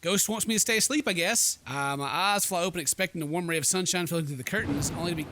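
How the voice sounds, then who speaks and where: A man's voice: sits high, quick pace, noisy background. A man hosting a let's play, reading game text aloud in a playful mock-dramatic way with jokey asides. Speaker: gaming video host.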